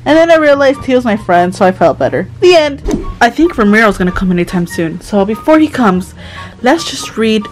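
A woman's voice: on the high side, big pitch swings, brisk, low-quality recording. Woman playing a playful storytelling plush toy over music. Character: friendly, playful plush toy telling spooky stories. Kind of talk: playful puppet-play storytelling for children.

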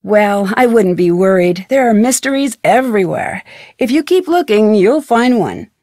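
A woman's voice: mid-range voice, expressive, normal speed, darkish tone, dry recording, clean studio sound, close to an everyday voice. A young woman voicing a friendly, curious, plucky amateur detective in a video game, with earnest, conversational delivery. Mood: thoughtful, curious.

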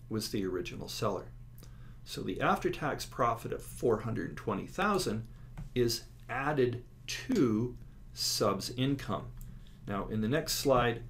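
Low-voiced male instructor, noisy recording. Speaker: accounting instructor. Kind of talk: lecture explaining slides step by step. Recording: clear steady background noise.

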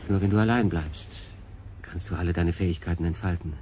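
An actor playing an earnest, brooding stranded man in a science fiction radio drama, speaking in a deliberate, probing way. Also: deep voice, warm tone, slight background noise, close to an everyday voice. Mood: troubled, insistent.

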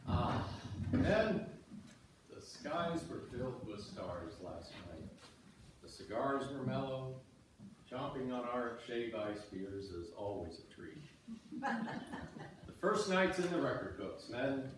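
A man plays a poetic, contented ice fisherman in a stage play. He speaks slowly with big swings of pitch, heard from a distance in a very echoey church. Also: sing-song. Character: contented, poetic ice fisherman savoring life with his buddies. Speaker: amateur reader's theater performer. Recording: echoey church hall from far off, faint noise.

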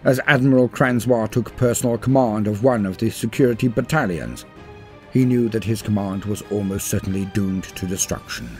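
A man reading a scripted war story as a dramatic narrator, grave and theatrical, in measured phrases. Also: fairly low pitch. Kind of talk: dramatic scripted lore read-through. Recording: voice-over with music behind. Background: music.

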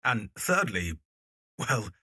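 A male actor plays an earnest young Victorian man in an audio drama. He is polite and eager, with a lively, swinging intonation and a slightly rough, bright tone. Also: lowish voice, slow pace, no echo, clean studio sound, full character voice.

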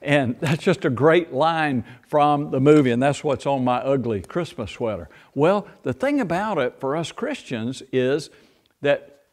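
A man's voice: on the high side, animated. A man in his sixties, a pastor, giving a playful children's sermon in a church sanctuary, filmed from a distance. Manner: playful, speaks slowly and gently to children. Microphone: far camera.

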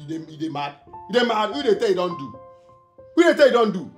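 A bossy, quick-tempered husband, played by a man with a Nigerian Pidgin accent, stammering as his words pile up. His voice runs high and swoops around, racing ahead at a fast clip. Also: sing-song, over music. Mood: angry. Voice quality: stammering, repeats himself. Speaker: jealous husband. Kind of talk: comedy skit acting.

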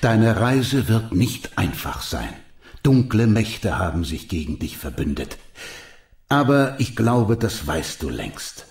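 An actor playing an ancient, noble and wise vampire mentor in a video game, speaking in a solemn, measured, sorrowful way. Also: male voice, lowish voice, very animated, a little mellow, roomy sound, heavily acted. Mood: solemn, earnest. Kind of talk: dramatic scripted game dialogue.

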